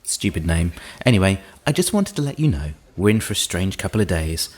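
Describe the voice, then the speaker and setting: An actor playing a curt, weary official in command, gruff and husky, in an audio drama. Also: male voice, deep voice, very animated, fairly quick.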